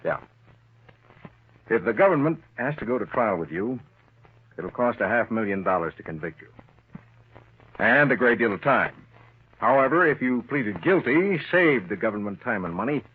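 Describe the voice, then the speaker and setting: A man's voice: mid-range voice, big pitch swings, moderate pace, pauses often, slightly rough. An actor playing a shrewd, confident government lawman in an old-time radio crime drama, pressing his point assertively. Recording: muffled, narrow-band old radio recording.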